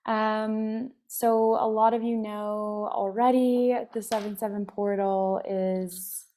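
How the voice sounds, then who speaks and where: Woman meditation host, scratchy from a cough, slow and even delivery, gentle meandering talk. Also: mid-range voice. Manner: soft, meandering, many fillers. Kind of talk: casual spiritual talk.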